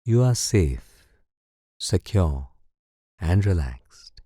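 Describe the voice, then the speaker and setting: Male, meditation instructor, soothing, measured delivery, dark mellow tone, unhurried pace. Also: low-pitched, very animated, breathy edge, dry recording, clean studio sound. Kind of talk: slow guided meditation narration.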